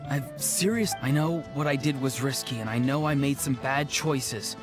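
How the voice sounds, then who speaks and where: A man's voice: mid-range voice, moderate pace, lightly acted. Man acting a sheepish, earnest young outlaw over music. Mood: earnest, contrite. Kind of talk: video game voice acting. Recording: music clearly playing behind the voice.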